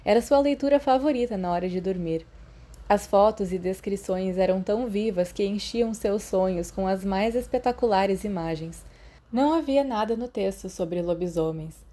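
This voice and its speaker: A Brazilian woman narrates fiction in a warm, relaxed voice of medium pitch. She reads from a script like an audiobook narrator, now and then stumbling slightly and correcting herself. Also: moderate pace.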